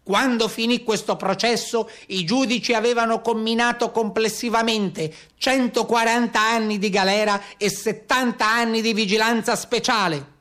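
A man playing a stern official who proudly declaims his report in a forceful, theatrical monologue. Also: sits high, steady tone, moderate pace, few pauses, voice pushed up. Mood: stern, declamatory. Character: stern official proudly recounting mass arrests of brigands' helpers.

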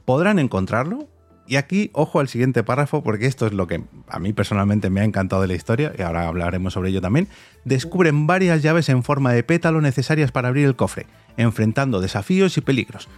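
A man's voice: mid-range voice, animated, fast talker, soft background music. A man with an accent from Spain hosting a conversational interview in an enthusiastic manner. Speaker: podcast host and interviewer.

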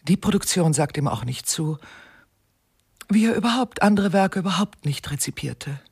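A woman playing a detached, weary widow giving testimony in a German radio crime comedy, halting and hesitant in her delivery. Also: lively intonation, darkish tone, dry recording, clean studio sound, exaggerated voice. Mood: detached, flat. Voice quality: husky, creaky, low for a woman. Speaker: witness being questioned.

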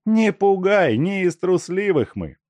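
A man's voice: high-pitched, very animated, measured pace, a little mellow, no room sound, voice pushed up. A man reading an audiobook and voicing a fierce young woman thief who scolds and gives orders sharply. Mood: scornful. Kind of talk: audiobook character voice.

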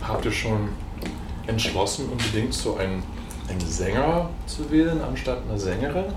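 A man's voice: on the low side, normal speed, reverberant, noisy background. A man in casual, conversational talk. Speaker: singer joining a band. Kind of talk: casual chat.

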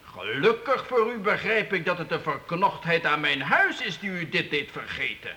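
Medieval count, stately, imperious yet fair, lively intonation, forceful tone, old radio play. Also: male voice, fairly high pitch, moderate pace, long reverb tail, a little hiss or hum, full character voice. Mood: measured, conciliatory. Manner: stately, commanding, formal royal we. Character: imperious but fair-minded medieval count holding court. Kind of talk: Dutch historical radio play acting.

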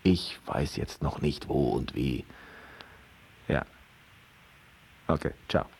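Ein Schauspieler spielt in einem Hörspiel einen kühlen, bedrohlichen, verschwiegenen Spezialisten, knapp und beherrscht im Ton. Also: male voice, very deep voice, expressive, vocal fry, a little mellow, a little hiss or hum, full character voice. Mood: cool, secretive. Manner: curt, unhurried, understated. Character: secretive hired male specialist, cool and menacing. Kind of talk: radio drama acting.

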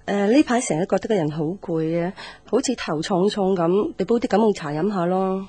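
A woman's voice: mid-range voice, a little fast, warm tone, husky, close to an everyday voice. A woman playing a casual, caring middle-aged mother in a radio drama, speaking offhand, colloquial Hong Kong Cantonese. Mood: casual, curious.

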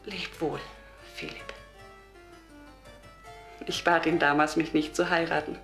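A meek, gravely ill wife in a radio play. She speaks slowly and solemnly, with halting fervour that can sink towards a whisper. Faint music sits around the voice. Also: low-pitched, little pitch movement, a bit bright, heavily acted. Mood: tender, wistful. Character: meek, devout, gravely ill wife bidding life farewell. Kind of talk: radio drama monologue.

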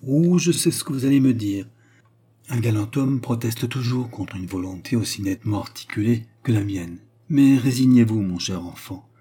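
A man's voice: medium pitch, normal speed, dark tone, slight background noise, voice pushed up, natural voice. A man voicing a repentant former courtesan in storybook dialogue, solemn, secretive and commanding, with formal, emphatic delivery. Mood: firm.

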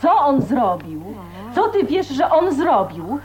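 A woman's voice: high voice, sing-song, slow pace, a crowd in the background, full character voice. A woman playing a defiant, sardonic 29-year-old spinster niece in a radio drama. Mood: challenging. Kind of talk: 1980s Polish radio drama acting. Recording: muffled radio play recording, people around.

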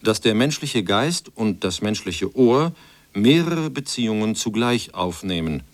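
A man's voice: on the low side, animated, unhurried, dry recording. A man reading quotations from a script for a radio feature. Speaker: reader of translated quotations.

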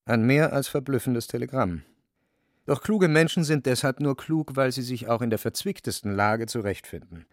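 A man narrating a literary radio play in a measured, wry manner. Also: medium pitch, dry recording, studio quality. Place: studio, very dry.

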